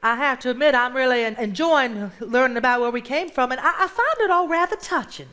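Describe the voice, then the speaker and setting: Bright-voiced, folksy woman played on stage. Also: high-pitched, expressive, a little fast. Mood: touched. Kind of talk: live stage play acting.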